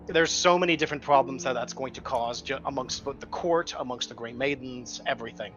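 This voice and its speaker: A man's voice: medium pitch, fairly quick, dull audio, music in the background. A man talking at length as a tabletop game player, casually arguing out strategy with some mild swearing. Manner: talkative, argues points at length, mild swearing.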